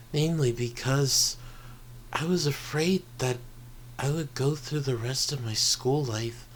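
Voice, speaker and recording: A man playing an earnest, vulnerable high-school boy in an intimate ASMR roleplay, speaking haltingly and sincerely. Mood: tender, nervous. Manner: halting, hesitant, sincere, often trailing into breathy murmurs. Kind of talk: ASMR roleplay audio drama, intimate confession. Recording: noisy home recording with ambience.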